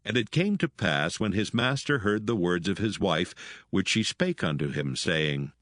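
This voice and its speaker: A man narrating dramatised scripture in a solemn, measured manner. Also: low-pitched, animated, a bit bright, no echo, studio quality.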